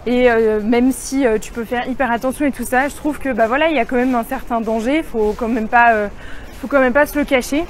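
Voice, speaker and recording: A woman's voice: a little high, steady tone, a little fast. A young woman vlogging casually as she walks through a city, talking in a chatty way full of fillers and restarts. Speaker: travel vlogger. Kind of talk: casual vlog walking tour. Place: outdoors in a city street. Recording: outdoor street noise. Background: street noise and passing cars.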